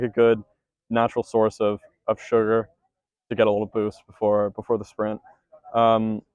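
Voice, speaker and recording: A man's voice: lowish voice, darkish tone, dry recording, lo-fi sound. A teenage male rower giving a casual post-race interview, talking loosely with many fillers and restarts. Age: teens. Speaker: junior rower.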